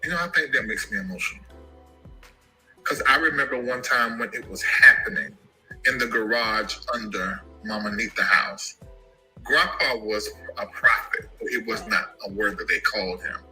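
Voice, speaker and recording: A man telling an emotional personal story about his family, animated and given to sudden high shouts. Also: normal speed, faint music behind, rough recording. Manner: animated, jumps into high-pitched shouts when quoting.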